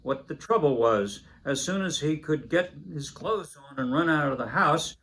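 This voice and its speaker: A man in his seventies reads a children's Christmas story aloud, unhurried, with small hesitations. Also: on the high side, lively intonation, fairly bright tone, poor audio. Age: 70s. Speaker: story reader.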